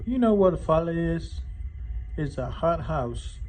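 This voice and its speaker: A man co-reading a children's story and explaining words informally, in a somewhat halting way. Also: a little high, expressive, a little mellow, a little hiss or hum. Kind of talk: read-aloud story dialogue with informal explanations.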